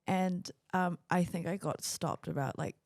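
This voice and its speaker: A woman's voice: on the low side, measured pace, vocal fry, darkish tone, no room sound, clean studio sound. A young woman co-hosting a podcast, telling stories in casual, bubbly chat. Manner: chatty, bubbly storyteller with laughs. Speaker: podcast co-host.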